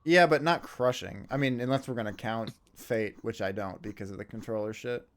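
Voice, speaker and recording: A man's voice: mid-range voice, brisk. A man doing casual esports commentary, laid-back, laughing often and swearing casually.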